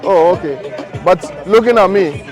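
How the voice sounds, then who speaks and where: A man's voice: high voice, animated, measured pace. Ghanaian man interviewing on a busy street. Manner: upbeat, teasing questions. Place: busy city street outdoors. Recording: loud street crowd and music.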